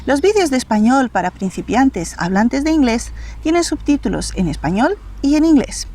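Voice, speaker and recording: A woman teaching beginner Spanish vocabulary, speaking clearly and slowing down for the example phrases. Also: sits high, very animated, darkish tone. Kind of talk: language lesson for beginners.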